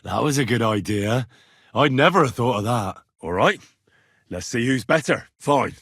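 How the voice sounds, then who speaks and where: An earnest young man of common birth in a medieval video game. His voice sits at a medium pitch with a slightly rough, husky edge. He speaks in a plain, folksy, curious way, with lively swings in intonation. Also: moderate pace, no echo, studio quality. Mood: polite, friendly. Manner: conversational, expressive, questioning, folksy turns of phrase. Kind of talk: video game dialogue acting.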